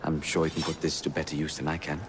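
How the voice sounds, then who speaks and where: Deep-voiced, calm mentor. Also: male voice, quick pace. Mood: calm, earnest. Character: calm, earnest male Victorian assassin mentor. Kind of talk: video game cutscene acting. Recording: noisy outdoor street ambience.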